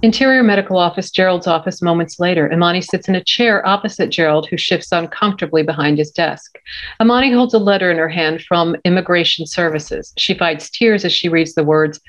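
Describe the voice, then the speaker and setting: A woman's voice: fairly low pitch, fairly quick. A middle-aged woman reading screenplay stage directions as narrator on a video call. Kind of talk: scripted narration at a table read. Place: indoor home room, video call. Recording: dull video-call audio.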